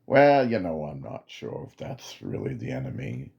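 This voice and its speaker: A man's voice: a bass voice, wildly swooping pitch, normal speed, gravelly, a little mellow, pitched below the performer's own voice, heavily acted. A man voicing a doubtful male soldier who questions and mocks his commander's orders. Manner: hesitant at first, then mocking and challenging.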